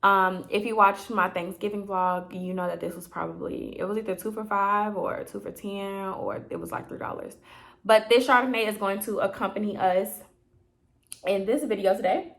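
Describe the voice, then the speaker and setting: A young woman from Chicago talks casually into her camera late at night, her voice slightly raspy. She rambles and explains with lots of "um" and "like", friendly and unhurried. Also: medium pitch, a bit bright. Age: 20s. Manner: chatty, filler-heavy, explanatory. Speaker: vlogger, therapy grad student.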